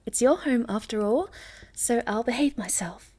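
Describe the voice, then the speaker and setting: A woman voicing a shy, sweet, curious young moth girl in an ASMR roleplay, speaking softly and politely with a hesitant stammer. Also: on the high side, normal speed, slightly rough. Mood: puzzled, apologetic.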